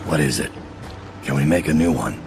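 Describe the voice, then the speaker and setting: An actor playing a grim, laconic assassin leader in a video game, terse and deadpan with a gravelly voice. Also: male voice, rumbling low, moderate pace, heavily acted. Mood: terse, stoic. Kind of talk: video game cutscene acting. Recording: very loud engine-like background noise.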